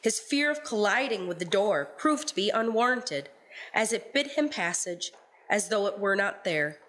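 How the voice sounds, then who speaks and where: A woman's voice: mid-range voice, expressive, moderate pace, fairly bright tone, a little hiss or hum, rough recording. A woman giving scripted storytelling narration as the narrator of a radio play.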